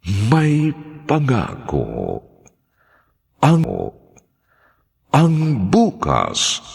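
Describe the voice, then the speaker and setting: A man's voice: medium pitch, wildly swooping pitch, gravelly, slightly rough. A man announcing a radio drama in a formal, polite manner and drawing his words out. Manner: slow, polite, drawn-out delivery.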